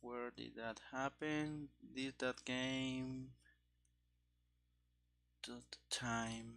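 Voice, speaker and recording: A man's voice: medium pitch, little pitch movement, drawn-out words, fairly bright tone. A man muttering and thinking aloud in fragments as he gives casual commentary on live programming work. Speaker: programmer streaming game development.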